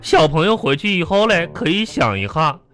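Exasperated schoolteacher, drawn-out pedantic scolding, animated intonation, radio comedy, light music behind. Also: male voice, fairly high pitch. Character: exasperated, fussy primary-school teacher with garbled pronunciation. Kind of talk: comedy radio drama acting.

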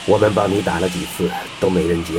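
A man's voice: sits low, steady tone, quick pace, over music, close to an everyday voice. A man playing a commanding but caring space mission leader in an audio drama, urgent and earnest. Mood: earnest, solemn.

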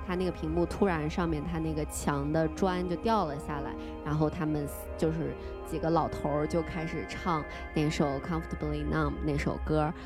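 Woman co-hosting a podcast over music. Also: low voice. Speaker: podcast co-host. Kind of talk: casual podcast chat. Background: music behind the voice.

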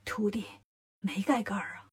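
A woman's voice: medium pitch, steady tone, moderate pace, breathy edge, voice pushed down, natural voice. A woman voicing a timid, helpless male monk master in a children's story, plaintive and easily panicked. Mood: fretful.

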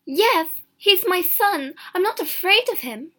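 A distraught elderly mother from a storybook, acted by a woman reader. Her voice is high and raised, with emphatic, urgent phrasing. She is grieving and insistent. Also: expressive, measured pace, pushed and bright, full character voice. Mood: urgent, pleading.

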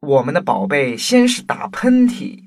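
A woman's voice: sits low, very animated, a little slow, darkish tone, soft background music. A woman playing a caring royal parent in a children's audio story, speaking in an instructive, attentive way. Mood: caring, concerned.

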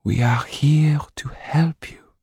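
A man voicing a stern but caring middle-aged woman guardian, firm and at times hushed, with a husky voice. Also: mid-range voice, expressive, normal speed, no echo, studio quality, voice pushed up, lightly acted. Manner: firm, then hushed and gentle.

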